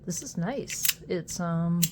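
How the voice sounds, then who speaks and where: Slow, sniffly woman unboxing a gadget. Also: on the low side, lively intonation, very noisy. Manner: hesitant, halting, many fillers. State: sniffly with a cold. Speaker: unboxing video host. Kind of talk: casual unboxing commentary.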